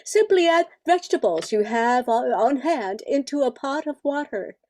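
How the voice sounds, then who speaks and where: A woman's voice: sits high, lively intonation, normal speed, a little mellow. A woman playing a helpful 1940s American radio cooking-show hostess, sweetly selling a recipe in an instructive manner. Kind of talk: old radio sponsor recipe pitch.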